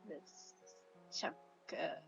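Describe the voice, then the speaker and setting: A woman's voice: very slow, music in the background. A woman streaming a game with casual commentary, murmured reactions and chatty asides, on a slightly dull mic.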